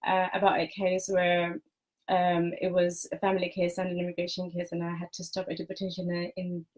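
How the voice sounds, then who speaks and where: A woman's voice: lowish voice, monotone, fairly quick, a little mellow, poor audio. A middle-aged woman from Bangladesh, a solicitor being interviewed, speaking casually with many fillers and restarts.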